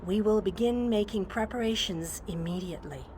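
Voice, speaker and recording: A woman voicing a calm, wise, ethereal guardian in a video game, speaking in a formal, measured, serene manner. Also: medium pitch, very noisy, close to an everyday voice. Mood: measured, advisory.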